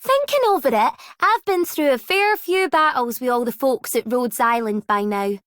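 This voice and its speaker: A young Scottish spear fighter in a video game. Her voice is high and closely recorded, with a folksy, unpretentious, easygoing way of talking. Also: animated, normal speed, fairly bright tone, smooth, no echo, clean studio sound. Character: plain-spoken, easygoing young spear-wielding woman.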